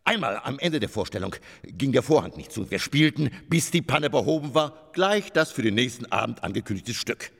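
An actor playing a vain, hard-drinking stage performer in a radio drama, pompous and declamatory, with a husky, gravelly voice. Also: male voice, mid-range voice, big pitch swings, moderate pace, hardly any pauses, fairly bright tone, heavily acted. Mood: grandiose. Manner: declamatory, pompous, swinging from murmur to outburst. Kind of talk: German radio drama acting. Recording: long reverberant hall.

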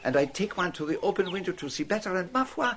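A man's voice: high-pitched, animated, fast talker, fairly bright tone, slight background noise. A man playing a courteous, inquisitive detective with a French-speaking European accent and formal, quaint phrasing in a radio drama. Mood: courteous, animated.

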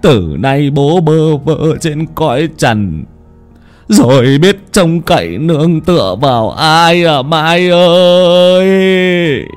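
A frail old village father mourns in a slow, drawn-out lament, voiced by a man telling the story. His pitch wavers widely, and soft music plays behind him. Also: animated. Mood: grieving lament.

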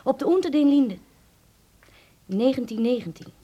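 A woman's voice: high-pitched, lively intonation, normal speed, mellow, highs cut off. An actress playing a proud, formal German woman who carries grief, in a radio drama. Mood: wounded, grave.

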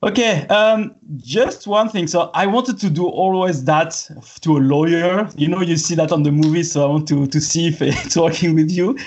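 A man whose first language is French, interviewing a guest in English in a friendly, casual way, with halting non-native phrasing. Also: a little high, darkish tone. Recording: dull lo-fi audio.

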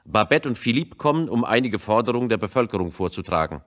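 A man's voice: lowish voice, very animated, heavy background noise. Sober male radio play narrator. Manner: measured, sober, deliberate.

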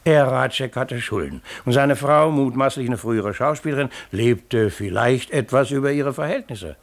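An actor playing an older, protective village pastor in a radio play, measured and avuncular. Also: male voice, mid-range voice, natural voice. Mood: defensive. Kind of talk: German radio play acting.

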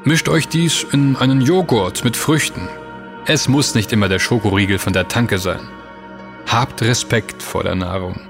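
Male narrator, lowish pitch, scripted advice to listeners, prominent music bed. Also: moderate pace.

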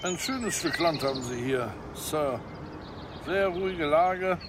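Sly snoop amid outdoor noise. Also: male voice, fairly high pitch, lively intonation, slow. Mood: chatty, probing. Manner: obsequious, sly, clipped chatty remarks. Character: chatty, insinuating, deferential American private investigator. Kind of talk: radio drama dialogue. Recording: clear outdoor ambience noise, birds, vehicles.

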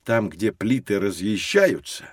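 A low male voice with a very lively melody and a dry, close sound. He is patient and didactic, pausing for emphasis as he explains to children in an educational audio drama. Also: dry recording. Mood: patient, instructive. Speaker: teacher.

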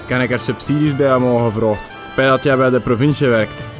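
An actor playing a bumbling, skeptical musician sidekick who talks in thick Flemish dialect in a comic radio play. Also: male voice, mid-range voice, brisk, over music, lightly acted. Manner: folksy, thick regional dialect. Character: skeptical, bumbling singer-songwriter sidekick with folksy speech. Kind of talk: comedic radio play acting.

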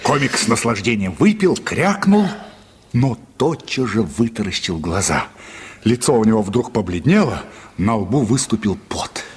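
Narrator for radio drama: man, low and husky, expressive literary delivery, reverberant hall sound. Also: unhurried.

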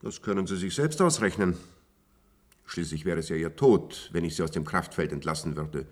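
An actor playing an authoritative institute doctor in a radio drama, precise, cool and matter-of-fact. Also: male voice, low voice, lively intonation, normal speed. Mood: cool, clinical. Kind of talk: German radio play acting.

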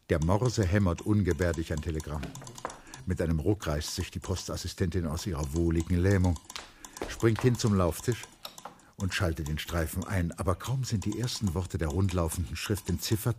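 A man reading literary narration for a radio drama in a low-pitched voice. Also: a little mellow, slight background noise.

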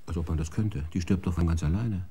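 Casting: bürokratischer Stellvertreter, tief und weich, unerschütterlich. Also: male voice, deep voice, fast, mellow, slightly rough. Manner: dry, bureaucratic. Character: unflappable male deputy official, matter-of-fact.

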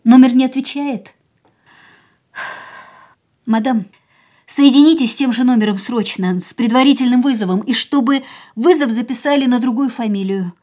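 An actress playing a fragile, restless young woman in a radio drama, speaking softly and often in a hushed near-whisper. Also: on the high side, normal speed, long pauses, close to an everyday voice. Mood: urgent, anxious.

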